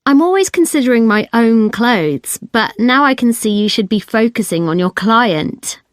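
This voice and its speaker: A woman's voice: on the high side, lively intonation, normal speed, no room sound, clean studio sound, lightly acted. A woman playing a design student in a scripted, reflective, conversational discussion with a classmate. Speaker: actor in an exam listening recording.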